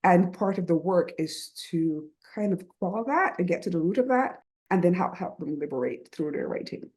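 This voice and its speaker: Woman, Barbadian accent, middle-aged, lowish pitch, mellow tone, earnest reflective guest. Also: expressive, normal speed. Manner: earnest and reflective, with frequent "right?" and soft laughs. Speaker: guest, writing program founder. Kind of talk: reflective conversational interview.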